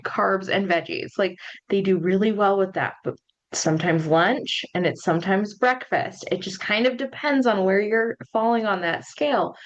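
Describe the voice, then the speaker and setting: A woman in her thirties, a little hoarse from losing her voice, chatting casually as a podcast host and nutrition coach. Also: on the low side, lo-fi sound. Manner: chatty, conversational, frequent ums and likes. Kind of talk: casual solo podcast talk.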